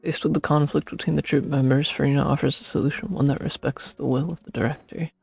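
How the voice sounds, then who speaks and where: Husky, creaky man narrating gameplay. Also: fairly high pitch, steady tone, fast talker, darkish tone. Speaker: game streamer. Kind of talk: narration and commentary over gameplay. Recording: faint game music behind.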